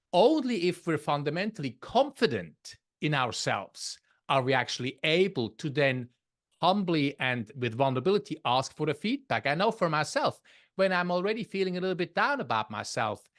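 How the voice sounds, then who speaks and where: A man's voice: a little high, fairly bright tone. A man with a Swiss accent, a podcast guest who explains his views in a thoughtful way and often restarts his phrases. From Switzerland. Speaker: podcast guest, leadership author. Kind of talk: conversational interview.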